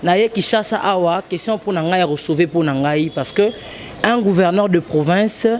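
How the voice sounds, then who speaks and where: Congolese woman journalist, interview guest, forceful and halting, mixes French with Lingala. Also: lowish voice, a crowd in the background. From DR Congo, Kongo Central. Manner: emphatic, hesitant, switches between French and Lingala.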